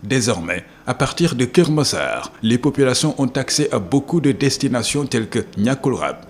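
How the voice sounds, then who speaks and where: A man's voice: mid-range voice, big pitch swings, moderate pace. A man reading a scripted documentary narration.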